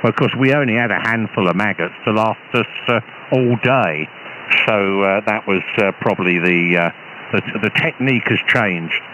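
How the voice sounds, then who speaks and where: A British man, an amateur radio operator, chatting casually over a shortwave radio link in a halting, hesitant way with repeated false starts. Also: lowish voice. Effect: radio transmission. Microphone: radio transceiver, received over shortwave. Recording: muffled, noisy shortwave ham radio signal.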